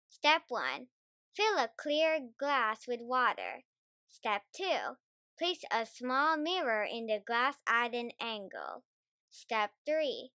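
A woman reads a children's storybook aloud with a high, very animated voice. She goes slowly and carefully, expressive with the scripted narration, in a bright, dry sound. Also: no echo. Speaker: storybook reader.

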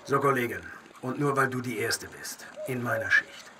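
A man's voice: medium pitch, moderate pace, noisy background, natural voice. A man acting an overworked, irritable clerk of heaven in a radio play, with an officious, hectoring manner. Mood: weary, muttering.

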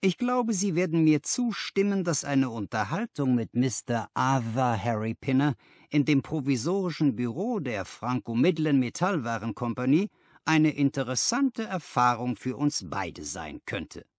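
A shrewd detective from a classic storybook, acted out by a male reader, who savors his words deliberately. His pitch rises and swings widely as he weighs things up, pleased and pensive, in a dry, close sound. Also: very animated, no room sound. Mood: pleased, savoring.

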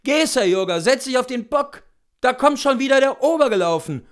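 Man voicing an impatient, loud coachman. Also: very high voice, expressive, normal speed, pitched above the performer's own voice. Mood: urgent, commanding. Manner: brusque, calling out loudly. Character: gruff, impatient coachmen barking at the lad.

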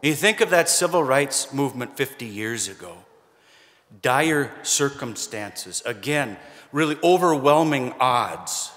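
A middle-aged man preaching a sermon from a pulpit, conversational and lightly humorous, pausing for emphasis. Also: mid-range voice, animated, fairly bright tone. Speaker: pastor. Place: church sanctuary, standing at a pulpit. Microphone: pulpit microphone, far camera. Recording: long church reverb.

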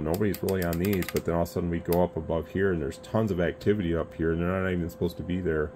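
Low, even-toned man. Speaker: YouTube radio reviewer. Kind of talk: casual rambling opinion talk to camera. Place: indoor radio workbench room. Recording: clear steady background room noise.

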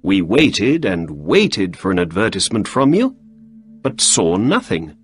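A man voicing a clever, brisk middle-aged male detective in an audiobook story. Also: low-pitched, wildly swooping pitch, faint music behind, full character voice. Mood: apologetic.

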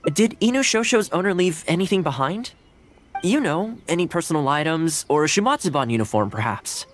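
Gentle, animated young anime-game hero. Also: male voice, on the high side, a little fast, slight background noise. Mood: helpful, earnest. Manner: gentle, friendly, reassuring. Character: kind, upbeat young swordsman fond of dogs. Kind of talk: video game character dialogue. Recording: music behind the voice.

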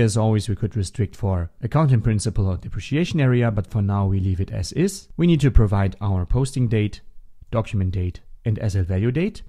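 Mellow low-voiced man teaching software. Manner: methodical, instructional. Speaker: software tutorial instructor. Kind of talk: step-by-step screencast tutorial narration. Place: voice-over for a screen recording.

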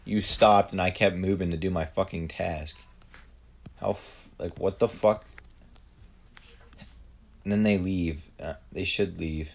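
A man's voice: sits low, fairly even intonation, gravelly. A man giving live gameplay commentary, blunt and profane, ranting at the other players. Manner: profane, blunt, quick to rant. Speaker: gamer streamer.

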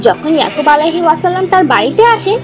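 Female storyteller for children's cartoon narration, very high and highly animated, music underneath. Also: racing. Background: background music.

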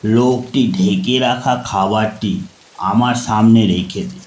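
A man's voice: lowish voice, fairly even intonation, slow, a little mellow. A man narrating a scripted first-person ghost story. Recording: echoey, faint rain noise behind. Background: rain ambience.

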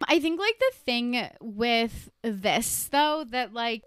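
A woman's voice: fairly high pitch, clear, resonant tone, dry recording, studio quality. A young woman from Canada hosting a podcast, chatting casually with lots of laughs and "like", her voice a little nasal from allergies. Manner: giggly, says "like" a lot, swears casually. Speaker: podcast host. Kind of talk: casual chat. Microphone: handheld performance mic.

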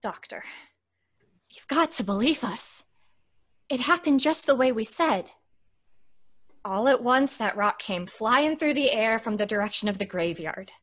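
A woman's voice: fairly high pitch, animated, unhurried, pauses often, a bit bright. A woman playing an earnest, fearful young woman in an old-time radio drama, speaking with dramatic insistence. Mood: earnest, pleading.

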